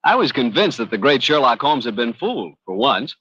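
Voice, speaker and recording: An actor as a genial, eager radio announcer bantering in a 1940s broadcast. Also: male voice, medium pitch, sing-song, fairly quick, sharp tone, breathy edge, no room sound, exaggerated voice. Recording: dull, dry old radio recording.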